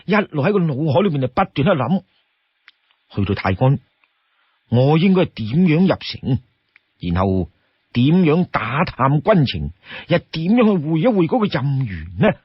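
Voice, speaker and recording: A man with a Cantonese accent narrating a story dramatically, expressive and posing rhetorical questions to his listeners. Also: mid-range voice, husky, no echo, muffled recording.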